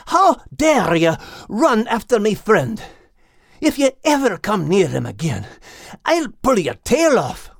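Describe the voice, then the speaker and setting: High-pitched, feisty Scottish little dog. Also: male voice, sing-song, slow, gravelly, no room sound, studio quality, exaggerated voice. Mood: angry, threatening.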